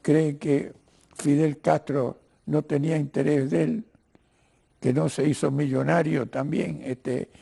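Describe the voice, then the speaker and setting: An older man with a Cuban-Argentine Spanish accent, speaking haltingly and professorially as a guest in a political interview, often repeating words as he searches for the next. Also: on the high side, little pitch movement, vocal fry, dark tone, breathy edge. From Cuba. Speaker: interview guest, writer and lawyer. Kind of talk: political discussion in a broadcast interview.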